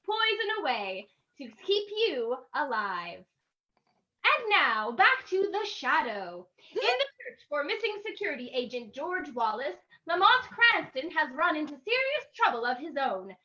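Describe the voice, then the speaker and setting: Lady doing a perky old radio announcer, super high bright voice, bit muffled recording. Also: very animated, unhurried, full character voice. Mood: bright, salesmanlike. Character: perky female old-time radio announcer pitching mock products.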